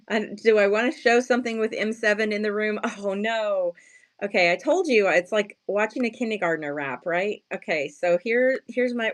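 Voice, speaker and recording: Slightly hoarse woman, casual live-sale chat. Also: medium pitch. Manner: self-deprecating, playful slangy wording; chatty, greets and thanks viewers often. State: just woken up, sleepy.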